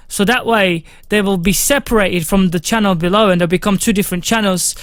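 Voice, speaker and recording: A young man with a fairly high voice, giving a casual, instructive music-production tutorial from a small home studio, with many fillers. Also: fairly bright tone, no echo. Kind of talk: screen-recorded software tutorial.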